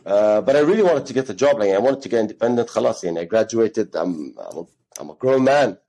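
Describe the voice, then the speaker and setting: A man's voice: on the low side, expressive, quick pace, mellow. A man in his thirties, from Ghana, telling his story as an interview guest in a rambling way, with Arabic phrases mixed into his English. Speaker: guest, gym co-founder.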